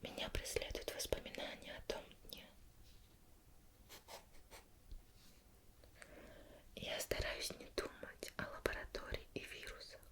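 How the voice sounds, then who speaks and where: ASMR roleplay, woman as female investigator: all in whisper, soft-spoken, formal, patient, measured questioning. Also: close to an everyday voice. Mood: calm, instructive.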